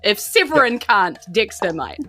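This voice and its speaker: A woman's voice: mid-range voice, big pitch swings, moderate pace, bright, forceful tone, soft background music. A woman with a New Zealand accent narrating a tabletop game as game master in a casual, informal way. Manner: casual, informal, giggly asides. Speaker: game master and podcast host.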